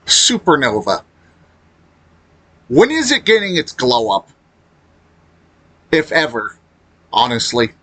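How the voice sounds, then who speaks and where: A man giving casual, unscripted opinion commentary as a gaming video creator, halting as he talks and blunt in his complaints. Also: medium pitch, wildly swooping pitch, bright, forceful tone, low-quality recording.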